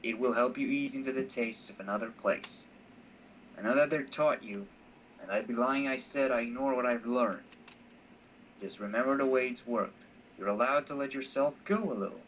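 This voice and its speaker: A man voices a self-assured mentor in a visual novel, insistent yet wry. His mid-range voice is measured and a touch patronising. It sounds off the mic, with faint noise behind it. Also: medium pitch, a bit bright, distant mic, close to an everyday voice, poor audio. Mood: calm, persuasive. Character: teasing, self-assured male mentor, calm and insistent, Cyrus. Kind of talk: amateur voice acting of a visual novel.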